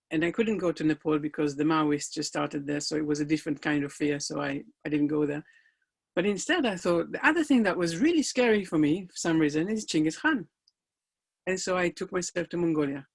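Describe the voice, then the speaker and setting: A man's voice: fairly high pitch, vocal fry. A middle-aged man, a life coach whose first language is not English, telling his personal story in an earnest, motivational talk with emphatic rises.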